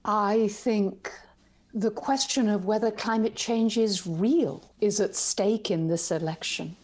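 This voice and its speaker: A woman's voice: mid-range voice, steady tone, slow pace, darkish tone. A woman panelist on a video call, answering in a direct, emphatic way. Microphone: webcam on video call.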